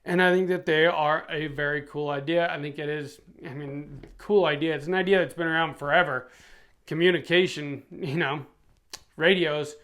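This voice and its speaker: A man's voice: on the high side, steady tone, moderate pace, a bit bright. A middle-aged man reviewing gear for his channel in a casual, chatty way. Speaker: product reviewer and YouTube channel host.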